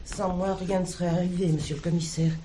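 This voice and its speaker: A woman's voice: fairly low pitch, fairly even intonation, a little fast, mellow, slight background noise, natural voice, rough recording. A woman playing an apologetic, guilt-ridden farmer's wife in a drama. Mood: distressed, remorseful.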